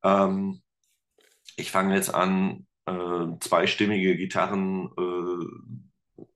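A man's voice: sits low, drawn-out words. A man guest on an interview podcast, chatting casually and explaining things with lots of fillers. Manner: relaxed, explains with many fillers. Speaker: podcast guest, teacher and guitarist.

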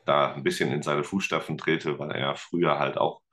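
Very deep-voiced man, rambling. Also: steady tone, moderate pace, fairly bright tone. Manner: rambling, hesitant, many fillers; self-deprecating humor. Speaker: guest, teacher and musician. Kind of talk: casual interview chat.

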